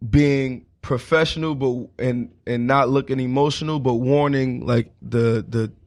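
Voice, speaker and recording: A man's voice: medium pitch, fairly even intonation, unhurried. A man in his late twenties chatting casually as an interview guest, talking in emphatic slang and often checking that he is understood. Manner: slangy, emphatic street talk with repeated check-in phrases. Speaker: guest, hip hop emcee. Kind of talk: casual radio interview chat.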